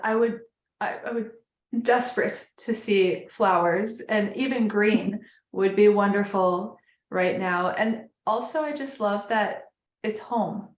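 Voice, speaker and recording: A woman's voice: mid-range voice, echoey room. A woman hosting a podcast, chatting casually as she interviews a guest. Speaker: podcast host.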